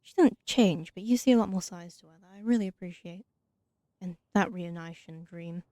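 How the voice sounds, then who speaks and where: Mellow woman doing dry, close game commentary. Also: fairly low pitch, moderate pace, dry recording. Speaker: let's play host. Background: faint game music.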